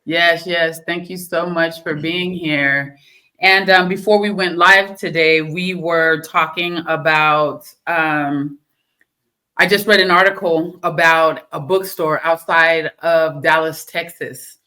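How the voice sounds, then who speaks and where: A woman hosting a radio-style podcast, opening upbeat and asking questions in a relaxed, drawn-out way. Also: low-pitched. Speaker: podcast host. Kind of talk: casual interview hosting.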